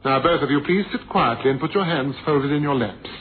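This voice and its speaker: Man acting a suave, smug villain, lively pitch. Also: brisk, close to an everyday voice. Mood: coolly menacing. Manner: suave, smug, darkly amused. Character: urbane, mocking male murderer who feigned blindness. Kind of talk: suspense radio drama acting. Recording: noisy phone-like vintage radio recording.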